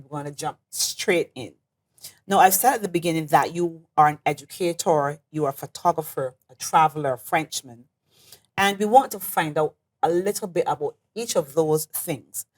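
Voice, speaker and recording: Low-voiced Barbadian woman hosting. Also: normal speed. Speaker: host of an interview series. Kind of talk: studio interview, presenting. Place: studio.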